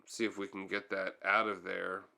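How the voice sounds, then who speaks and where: A man's voice: sits low, little pitch movement, moderate pace, creaky, bright, forceful tone. A man giving casual, hands-on how-to narration as a tutorial host, thinking aloud a little hesitantly as he goes.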